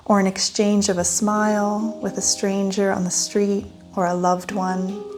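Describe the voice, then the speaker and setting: A woman leading a guided meditation in a gentle, soothing manner with long pauses. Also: medium pitch. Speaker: meditation guide, yoga teacher. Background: soft instrumental music.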